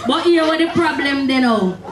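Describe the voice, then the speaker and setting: Echoey, high-pitched Jamaican woman on stage. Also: animated, moderate pace, distant mic. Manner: loud, emphatic. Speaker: stand-up comedian. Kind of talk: stand-up comedy in Patois. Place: stage at night. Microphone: handheld stage microphone. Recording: echoey stage PA from afar, noisy crowd.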